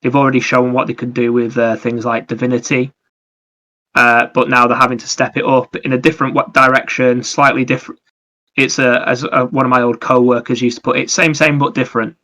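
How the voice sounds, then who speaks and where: A man's voice: medium pitch, flat delivery, brisk, bright, forceful tone. A man chatting casually about video games as a podcast host, explaining things in a rambling way. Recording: dull, lo-fi microphone sound.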